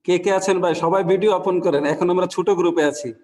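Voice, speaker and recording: A man's voice: sits high, fairly even intonation, rapid-fire, a little mellow, long reverb tail. A man with a Bangladeshi accent, speaking in a friendly, urging way while leading a small online group discussion. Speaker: breakout group participant. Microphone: video call.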